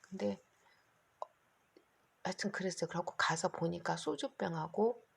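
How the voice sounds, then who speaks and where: A middle-aged woman vlogging to her phone in a rambling, anxious monologue, her voice hoarse and her throat catching. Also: on the low side, normal speed. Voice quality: gravelly, husky. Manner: rambling, anxious, talks in long run-on stretches. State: hoarse, keeps clearing throat. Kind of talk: casual monologue to camera.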